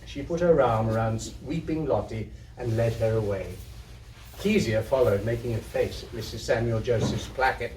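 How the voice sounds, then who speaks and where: A man giving an expressive live reading of literary fiction. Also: medium pitch. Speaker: reader, amateur theatre troupe member. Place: indoor community hub event. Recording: roomy, distant mic, faint noise.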